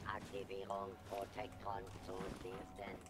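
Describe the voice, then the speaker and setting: An actor voicing an obedient combat robot in a video game, with stiff formal announcements in German through a robot filter. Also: male voice, on the low side, a little slow. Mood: flat, obedient. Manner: flat, formal, mechanical announcements.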